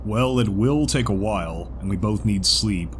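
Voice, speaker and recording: A man playing a calm, weary male zebra in an audiobook, telling things in a measured, soothing manner. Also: lowish voice, slight background noise, lightly acted. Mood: solemn, soothing.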